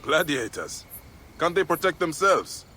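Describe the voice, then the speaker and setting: An actor playing a steadfast, compassionate protector from ancient times, in a dramatic video game performance. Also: male voice, on the high side, big pitch swings, measured pace, sharp tone, slight background noise, full character voice. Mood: concerned.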